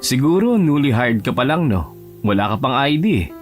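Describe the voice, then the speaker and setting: A man's voice: mid-range voice, very animated, normal speed, soft background music, natural voice. A man playing a friendly, talkative young office worker in an audio romance drama, casual and reassuring. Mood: chatty. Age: young adult.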